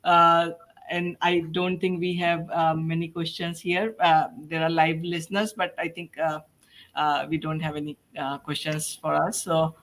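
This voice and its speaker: A woman hosting a panel discussion as a podcast host, putting questions to guests in halting, hesitant phrases. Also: low-pitched, little pitch movement, a bit bright.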